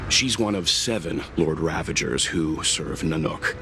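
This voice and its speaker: An actor playing a thoughtful, sombre mentor in a video game, speaking in a measured, analytical way. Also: male voice, very deep voice, noisy background. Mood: grave. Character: grave, thoughtful older male traveller and mentor. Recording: music behind the voice.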